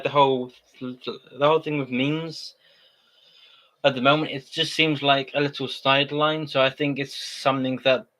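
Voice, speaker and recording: A young man chatting casually on a livestream, rambling with many halting restarts. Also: mid-range voice, fairly bright tone, poor audio.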